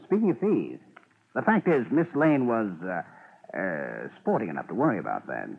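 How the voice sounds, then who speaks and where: An American man playing a dry, smugly patient police official in a 1950s radio drama, with a husky voice and mock-officious deadpan delivery. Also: fairly low pitch, moderate pace, slight background noise, heavily acted. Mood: teasing. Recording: muffled, phone-like 1950s radio recording.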